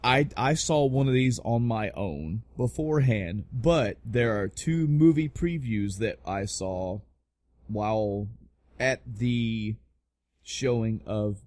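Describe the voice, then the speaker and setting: A man's voice: on the low side, a little mellow, no room sound. A man hosting a casual podcast about pop culture, talking in an emphatic, rambling way with plenty of swearing. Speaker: podcast host. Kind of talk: casual podcast chat about video game movies.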